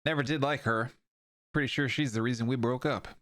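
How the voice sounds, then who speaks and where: A man's voice: mid-range voice, steady tone, fairly quick, fairly bright tone, a little hiss or hum, close to an everyday voice. Medic male actor: mid-range, casual, wry, blunt and perceptive. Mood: wry, concerned. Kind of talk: audio drama acting.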